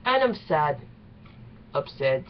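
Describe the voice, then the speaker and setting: A young woman doing a casual reaction vlog in halting, exclaiming, informal English. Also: sits low, sing-song. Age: young adult. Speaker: reaction video maker. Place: small bedroom, indoors. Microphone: webcam at arm's length. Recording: dull lo-fi webcam sound, faint noise.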